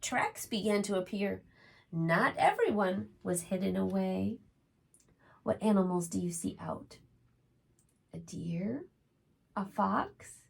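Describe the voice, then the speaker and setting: A woman reading aloud to young children as a preschool storytime host, expressive and often putting questions to her listeners. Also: medium pitch, slow pace, gravelly, long pauses, husky.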